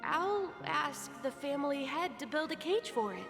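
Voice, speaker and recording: High-voiced, serious young boy. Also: animated, moderate pace. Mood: thoughtful. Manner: careful, serious, gentle. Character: thoughtful, sensible young boy, a scholarly brother. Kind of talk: scripted video game voice acting. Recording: hall reverb under faint music.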